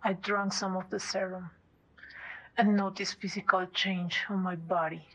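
A young woman acting a scientist who is turning into a monster, reporting her symptoms in a halting, anxious way in a small room. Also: mid-range voice, little pitch movement, lo-fi sound. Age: young adult. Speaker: lead actress in a web series. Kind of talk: amateur web drama acting.

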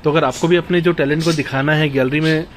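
A man's voice: a little high, steady tone, fast, slight background noise. A man in his thirties from India, chatting through a walking tour vlog on a phone held at arm's length, mixing English words into Hindi. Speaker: travel vlogger. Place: outdoors at an old fort and town streets. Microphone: phone camera held at arm's length.

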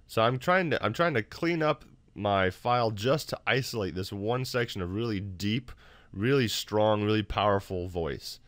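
Low-voiced man, dry casual tutorial. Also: lively intonation, moderate pace, a bit bright, no echo. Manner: informal, self-deprecating, explanatory. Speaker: tutorial host. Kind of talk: casual screen-recorded software tutorial. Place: home office room.

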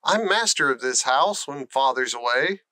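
A man's voice: mid-range voice, expressive, moderate pace, bright, forceful tone, no echo. A man voicing a bossy but needy frail young boy in a storybook, with an emphatic manner. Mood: snappish, bossy. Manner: imperious, emphatic.

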